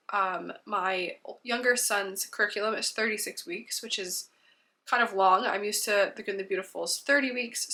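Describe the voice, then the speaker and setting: A woman's voice: mid-range voice, sharp tone. A woman in her thirties, congested from a cold, chatting casually to camera as a homeschooling mom, rambling with frequent ums.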